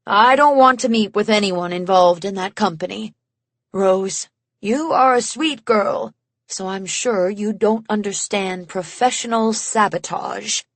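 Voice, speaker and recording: A woman's voice: medium pitch, a little slow, no room sound. A woman voicing a bitter, volatile genius of a man in an audiobook, intense, condescending and mocking. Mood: curt, condescending. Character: bitter, volatile, self-important genius man, haggard and snide. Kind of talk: audiobook character acting.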